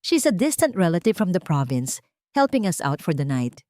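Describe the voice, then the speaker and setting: A woman's voice: on the low side, big pitch swings, moderate pace, darkish tone, dry recording, clean studio sound. A Filipino woman voicing a polished, scornful wealthy wife with a gushing, falsely gracious manner in a narrated story. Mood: veiled scorn.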